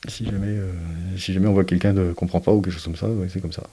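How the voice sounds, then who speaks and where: A man speaks low and soft-toned in an interview, reflecting slowly on family life. He pauses often and fills gaps as he searches for words, his timbre warm and dark. The delivery stays thoughtful and unhurried. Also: fairly even intonation, breathy edge. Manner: hesitant, thoughtful, frequent fillers. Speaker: interviewee, parent.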